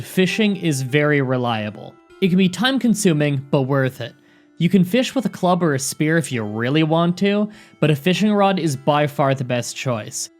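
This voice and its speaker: Instructional gaming narrator over music. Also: mid-range voice. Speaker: host of gaming tips videos. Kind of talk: scripted tutorial narration. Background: background music.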